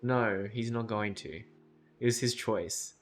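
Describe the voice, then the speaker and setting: A man's voice: on the low side, fairly even intonation, a little slow. A man livestreaming a game reaction in casual chat, blunt, slangy and given to swearing. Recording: game music behind the voice.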